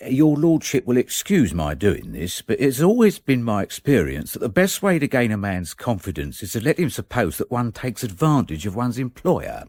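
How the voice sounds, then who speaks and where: An actor playing a deferential, shrewd gentleman's manservant in a radio drama, formal and discreetly sly. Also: medium pitch, huge pitch swings, normal speed, few pauses, no room sound. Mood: formal, reporting.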